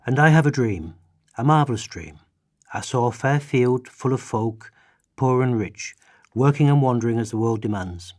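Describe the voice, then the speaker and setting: A man playing an earnest radical orator and writer from history in a radio play, with deliberate, declamatory delivery. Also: mid-range voice, expressive, no echo, clean studio sound, close to an everyday voice. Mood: visionary, earnest.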